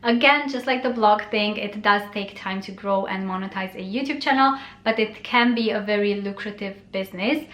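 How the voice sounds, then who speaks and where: A woman's voice: medium pitch, moderate pace, hardly any pauses, a bit bright, echoey room. A young woman whose first language is Romanian, talking casually to camera as a blogger, chatty and friendly with many fillers. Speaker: blogger and YouTuber. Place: bright bedroom, indoors.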